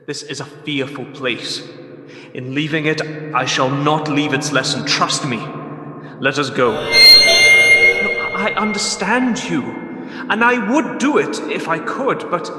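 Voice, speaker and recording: A man plays a remorseful old miser in a radio drama, frightened and pleading. The voice is fairly high for a man and moves slowly and haltingly. It rings in a long reverb with music behind it. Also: sharp tone, full character voice. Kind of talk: theatrical radio play acting. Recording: roomy long reverb over clear music.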